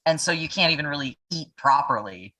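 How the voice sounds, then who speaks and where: A woman playing a tabletop role-playing game, improvising the story casually and haltingly as she thinks aloud. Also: deep voice, animated, pushed and bright, low-quality recording.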